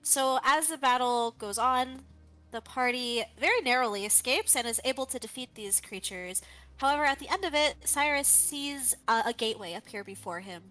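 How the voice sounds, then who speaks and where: A woman, a player in a tabletop game, telling the recap of the last session in an animated way. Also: a little high, low-quality recording. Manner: animated storytelling. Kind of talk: recapping the story.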